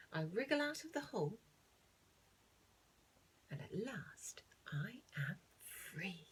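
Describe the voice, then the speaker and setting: A woman teacher reading a children's nature book aloud, expressively, pausing to explain things simply and put questions to young listeners. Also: medium pitch, fairly bright tone, slight background noise, low-quality recording. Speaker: primary school teacher.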